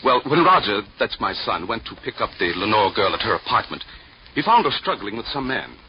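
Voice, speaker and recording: A quick-talking, formal middle-aged official in a vintage radio drama. He is an anxious, polite father with a lowish, very animated voice. The old recording is muffled and noisy. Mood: worried, earnest. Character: proper, anxious male parole board chairman, a worried father. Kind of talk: 1940s-50s radio drama acting.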